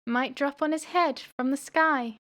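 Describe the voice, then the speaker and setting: Radio play daughter, female actor: young adult, playful, cheeky, slightly high pitch, theatrical teasing. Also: measured pace, fairly bright tone. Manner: playful, mock-dramatic. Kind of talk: amateur radio play acting.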